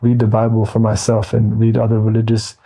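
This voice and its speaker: A man in his forties with an American accent, speaking in a very mellow, dark tone. His pitch stays fairly flat as he meanders easygoingly through casual interview answers, often pausing mid-thought. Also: husky. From Wisconsin, United States. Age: 40s. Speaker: interview guest.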